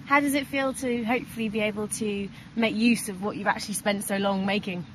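A woman's voice: mid-range voice, fairly even intonation, fairly quick, background noise. A woman interviewing a guest outdoors, encouraging with frequent agreement sounds. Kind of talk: casual interview questions.

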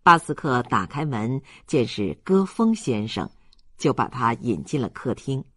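A man's voice: mid-range voice, sing-song, unhurried, a bit bright, no room sound, clean studio sound. A man narrating a literary audiobook in a dramatic storytelling style.

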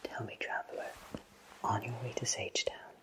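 A man whispering an ASMR roleplay close to the microphone as a courteous, cheerful potion shopkeeper, with genteel, theatrical phrasing and deliberate pauses. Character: cheerful, courteous, flowery-spoken potion shopkeeper in a fantasy town.

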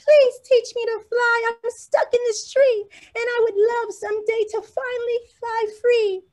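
A woman doing a high, tearful little bluebird. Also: steady tone, measured pace, darkish tone. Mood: pleading. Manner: high, pleading and whimpering. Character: small tearful male bluebird stuck in a tree.

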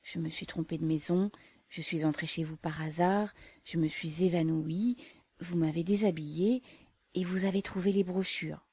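A woman reading aloud, voicing a stubborn young woman who talks calmly and austerely. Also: fairly low pitch, moderate pace, breathy edge, no room sound. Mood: urgent. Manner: calm, austere.

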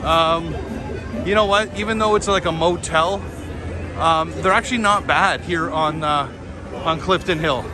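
A man's voice: on the high side, measured pace. Chatty man touring a busy street. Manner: chatty, upbeat, many fillers. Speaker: walking tour guide. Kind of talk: casual walking tour commentary. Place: busy city street outdoors. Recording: street crowd and music behind. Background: street crowd and music.

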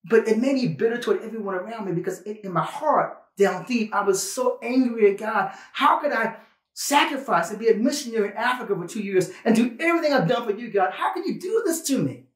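A man in his fifties giving a personal, conversational sermon as a pastor, earnest and emphatic, with deliberate pauses and repetition. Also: high-pitched, lively intonation, reverberant. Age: 50s. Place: small indoor studio, plain backdrop.

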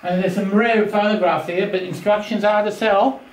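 A man's voice: sits high, steady tone, moderate pace. An older Australian man, an auctioneer, enthusiastically rambling about items while walking through a house and filming. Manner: enthusiastic, rambling, self-joking. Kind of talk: casual walkthrough chat. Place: large house, indoors. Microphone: handheld phone camera. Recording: echoey rooms, faint noise.

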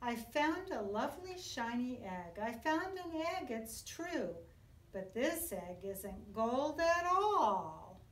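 Female kindergarten teacher, middle-aged, highish voice, very slow expressive storybook reading, recorded at a distance in a classroom. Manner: expressive, sing-song storytelling for young children. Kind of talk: read-aloud of a children's picture book. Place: indoor classroom. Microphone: camera a few feet away. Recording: roomy echo, distant microphone.

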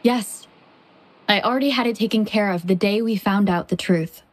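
A woman voicing a calm, supportive young female adventurer in an anime video game. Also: mid-range voice, normal speed, close to an everyday voice. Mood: calm, reassuring.

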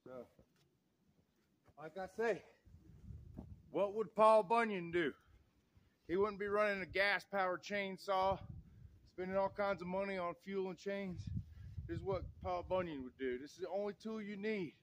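Thirties man, preachy folksy off-grid vlogger, higher-pitched voice, dry sound, filmed by a tripod camera outside. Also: a little slow, long pauses, dry recording. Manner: folksy, preachy, keeps saying y'all. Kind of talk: outdoor vlog talk to camera. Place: snowy woods outdoors. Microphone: camera on tripod, a few meters away.

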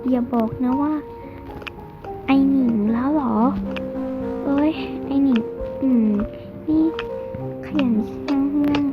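High-pitched vain schoolgirl, played by a young female. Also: steady tone, dull audio, over music. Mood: indignant, nosy. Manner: exclamatory, drawn-out whining interjections. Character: vain, sassy, grumbling schoolgirl.

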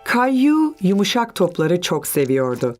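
A woman narrating a children's cartoon as a gentle storybook narrator, telling the story clearly. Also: lowish voice, very animated, unhurried, soft background music. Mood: gentle storytelling.

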